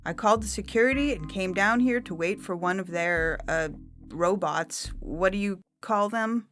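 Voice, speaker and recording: A woman's voice: medium pitch, moderate pace, a bit bright, close to an everyday voice. A woman playing an insistent, well-to-do witness in her seventies in a science fiction audio story. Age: septuagenarian figure. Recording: music behind the voice.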